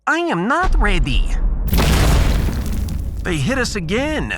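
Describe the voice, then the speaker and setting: A man playing a sarcastic, excitable, unheroic starship captain in a science fiction audio drama. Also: sits high, slow pace, over loud music, heavily acted. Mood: alarmed. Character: wry, cowardly but bantering male starship captain. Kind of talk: sci-fi audiodrama acting.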